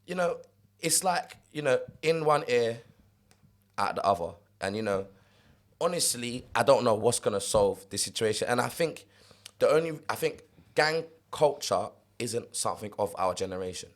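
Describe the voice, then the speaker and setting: A young man from South London with a London accent, co-hosting a casual podcast chat, animated and repeating words as he gets going. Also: mid-range voice, normal speed. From South London, England. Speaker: podcast co-host.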